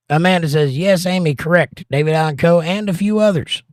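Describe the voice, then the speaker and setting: A man with a hoarse, partly lost voice, hosting a casual livestream and giving plain-spoken, folksy advice while reading viewer comments. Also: fairly high pitch, no echo, studio quality. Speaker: livestream host and pastor.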